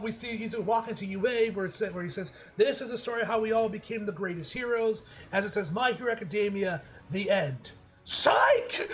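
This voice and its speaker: A man giving a casual, rambling episode review as an excitable fan, with slangy, profane talk. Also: extremely high pitch. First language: English. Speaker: anime reviewer.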